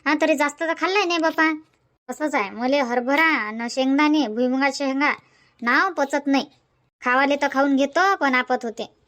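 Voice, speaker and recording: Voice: sits high, normal speed, bright, forceful tone. A voice actor voicing a fussy older village woman with a stomach ache, speaking in a dubbed cartoon comedy, grumbling and self-pitying.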